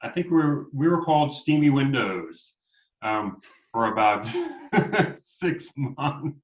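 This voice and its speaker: A man's voice: mid-range voice, animated, slow pace. A man in his forties from New York, a guest musician chatting casually, encouraging and chuckling, hunting for dates with many fillers. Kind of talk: casual interview chat. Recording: dull, roomy remote audio.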